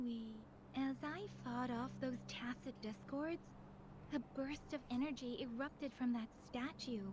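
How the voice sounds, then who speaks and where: A woman voicing an earnest young female warrior in a video game, explaining things in a measured, patient way. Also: high voice, background noise. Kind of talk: video game dialogue, expository.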